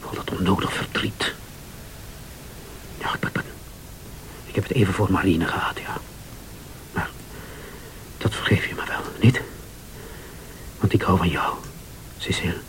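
An actor playing an anxious, guilty husband who pleads and defends himself in a radio thriller. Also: male voice, low-pitched, fairly bright tone, in a whisper, poor audio. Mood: reproachful, pleading. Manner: pleading, defensive, halting when pressed.

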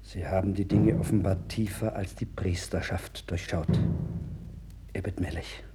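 A man's voice: low voice, steady tone, measured pace, over loud music. An actor playing a troubled, wavering king of ancient Judah in a formal 1960s radio play style. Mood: hushed, resigned. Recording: worn vinyl record with clear noise.

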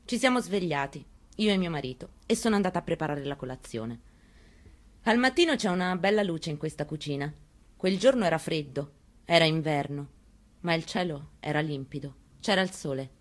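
A woman reads a memoir aloud in the first person, in a lowish voice. Her telling is intimate and close, like recalling a morning at home. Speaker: reader. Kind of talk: dramatic memoir reading.

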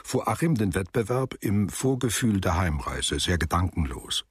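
A man narrating a literary radio drama in measured, deliberate phrases. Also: low-pitched, husky, no room sound, studio quality.

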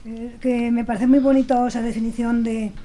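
A woman's voice: fairly high pitch, flat delivery, moderate pace, warm tone, faint music behind. A woman talking reflectively and unscripted on a radio show, repeating words as she thinks aloud.

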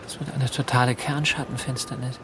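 A prophetic, mysterious man, played by an actor in a radio drama. He speaks commandingly in a medium-pitched voice, away from the mic amid clear ambient noise. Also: level tone, brisk, natural voice. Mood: portentous. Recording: distant voice in noisy ambience.

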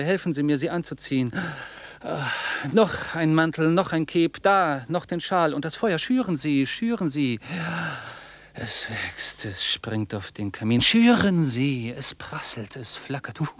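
A man's voice: fairly high pitch, no echo, close to an everyday voice. An actor playing an obsessive, defiant, imperious rich man in a German radio drama, declaiming and repeating his commands. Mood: feverish, urgent.

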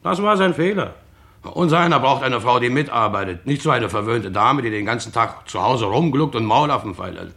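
A defiant working man in a radio crime drama. His voice is gravelly and swings widely in pitch as he argues in earthy, coarse words. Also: lively intonation, a little fast, fairly bright tone. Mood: blunt, scornful. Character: defiant, blunt male factory worker, gruff and plain-spoken.

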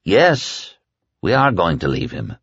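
A man voicing a calm, stern man in an audiobook, arguing quietly and coldly to hold friends to an agreement. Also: on the low side, gravelly, breathy edge, no echo, highs cut off, pitched above the performer's own voice, full character voice. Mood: quiet, resolved. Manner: quiet, cold, measured argument.

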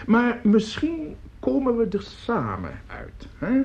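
An actor playing an excitable, coarse opera conductor in a radio play, effusive and grumbling, stammering and swearing as he talks. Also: very high-pitched, takes it slowly, creaky, a little hiss or hum, heavily acted. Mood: enthusiastic. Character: excitable, coarse, opinionated male opera conductor at his piano. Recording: dull old radio recording, piano music.

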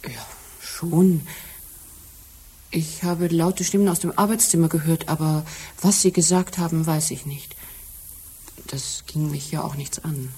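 An actress playing a shaken, loyal and discreet secretary in a German radio crime drama, speaking softly and guardedly. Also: female voice, deep voice, fairly even intonation, a little slow, pauses often, breathy edge, full character voice. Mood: guarded, subdued.

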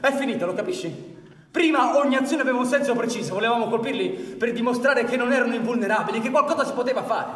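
A man's voice: extremely high pitch, fast. An actor playing an earnest, agitated outlaw in a radio drama, urgent and insistent, repeating his words. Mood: pleading, exasperated. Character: earnest, agitated man, an outlaw on the run urging escape. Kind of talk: live radio drama acting in Italian. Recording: echoey hall with loud music.

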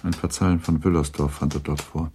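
A man narrating a literary radio drama in a sober, measured way. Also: rumbling low, steady tone, dark tone, a little hiss or hum.

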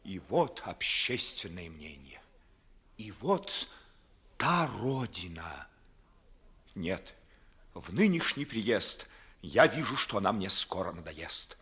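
An actor playing a proud, wounded young gentleman in a classical radio play, declaiming bitterly and with agitation in a husky voice. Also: low-pitched, sing-song, slow pace, pauses often, full character voice. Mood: agitated, brooding. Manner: declamatory, agitated, theatrical monologue. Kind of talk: 1950s Russian classical radio theatre acting.

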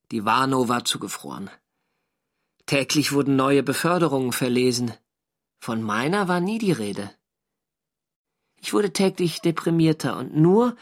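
Young navy diarist, mid-pitched, measured literary radio drama narration. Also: male voice. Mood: animated. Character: young German navy sailor, diarist recounting war service. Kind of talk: scripted first-person radio drama narration.

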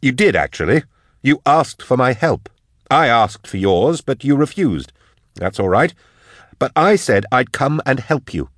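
A man voicing an outspoken, indignant male scholar who challenges and yells sarcastically in an audiobook story. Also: medium pitch, big pitch swings, a little slow, no room sound, studio quality, voice pushed up. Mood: sarcastic, pressing.

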